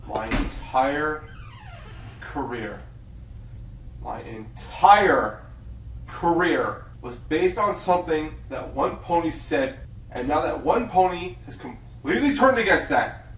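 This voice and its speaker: A man playing an idealistic, excitable young pony cub reporter in a radio play, dramatic and fervent. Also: fairly high pitch, measured pace, distant mic. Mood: betrayed. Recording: echoey room, off-mic.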